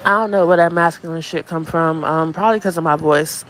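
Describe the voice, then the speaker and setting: A woman with a deep voice, talking in a brash, profane, boastful way in a clip. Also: a little fast, a little hiss or hum, poor audio.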